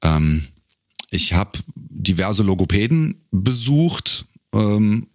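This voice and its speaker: A man's voice: lowish voice, sing-song, slow, no echo, clean studio sound. A middle-aged man chatting casually as an interview guest, telling anecdotes with a joking touch. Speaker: podcast guest, professional voice-over speaker and singer. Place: close-miked in a dry room.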